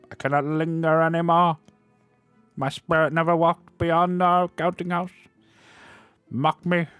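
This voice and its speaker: A man voicing a mournful chained male ghost with high, moaning, drawn-out wails. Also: a bit bright, clear, resonant tone, no echo.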